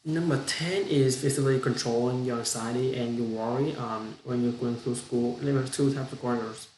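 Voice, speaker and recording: A young man with a Vietnamese accent, a non-native English speaker, gives an unscripted practice monologue with halting speech, frequent restarts and repeated words. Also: mid-range voice, fairly even intonation, a little mellow, echoey room, a little hiss or hum, rough recording. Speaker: student practicing spoken English.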